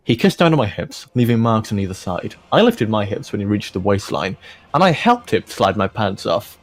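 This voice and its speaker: Animated man reading aloud jokily. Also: lowish voice, moderate pace. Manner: breaks into laughter and commentary while reading. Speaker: host of a fan fiction reading video. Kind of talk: jokey read-aloud with casual asides.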